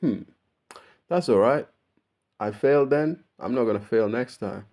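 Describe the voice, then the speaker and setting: A man's voice: medium pitch, unhurried, a little mellow. A man with a Melbourne Australian accent, talking casually straight to his viewers in a laid-back, swearing monologue. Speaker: YouTuber.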